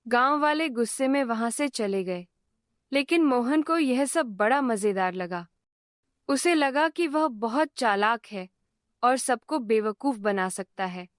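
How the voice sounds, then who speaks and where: A woman narrating a moral cartoon story in a calm, measured voice-over. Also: on the high side, no echo, clean studio sound.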